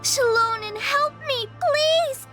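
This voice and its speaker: An actress voicing a small, childlike, excitable companion in a video game, squeaky, with anxious, wide-eyed exclamations and questions. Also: female voice, slow pace, exaggerated voice. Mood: alarmed. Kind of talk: dramatic video game voice acting. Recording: game audio with music behind. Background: game music.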